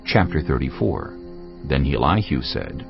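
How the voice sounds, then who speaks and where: Very deep male scripture narrator. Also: very animated, a little slow, gravelly, lo-fi sound, faint music behind.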